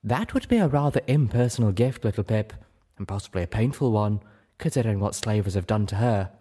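A man's voice: on the low side, expressive, brisk, warm tone, slightly rough. A man voicing a refined, composed female unicorn with polite, proper diction in an audiobook. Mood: concerned reproof. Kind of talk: audiobook character voice.

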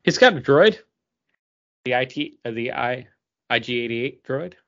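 A man's voice: mid-range voice, animated, measured pace, muffled recording. A man co-hosting a casual podcast, chiming in playfully with short remarks and questions. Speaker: podcast co-host. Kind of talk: casual chat.

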